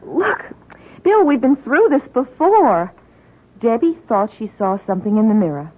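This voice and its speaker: A woman's voice: a little high, lively intonation, unhurried. A woman playing a tense, stubborn and wary young college student in a radio drama. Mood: wary, annoyed. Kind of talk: 1970s radio drama acting. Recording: muffled old radio recording.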